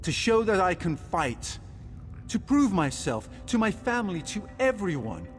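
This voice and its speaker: An earnest young scribe from a fantasy game, speaks slowly in a fairly high male voice. He sounds inexperienced and anxious, halting as he talks. His words ring in a long reverb over music. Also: big pitch swings, slight background noise. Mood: earnest, determined. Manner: anxious, halting, self-reassuring. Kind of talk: video game character acting.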